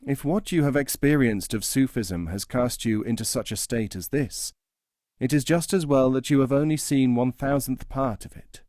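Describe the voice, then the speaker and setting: A man plays a stern but calm male Sufi sage from a storybook teaching tale. He admonishes in a measured way, in a dry recording. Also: mid-range voice, no echo, close to an everyday voice. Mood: calm rebuke.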